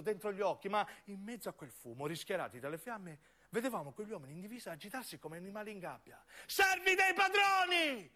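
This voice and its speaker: A male actor delivers a scripted radio-theatre monologue. His voice is high and forceful, moving across a wide range. The phrasing is deliberate, emphatic and theatrical. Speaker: narrating stage actor.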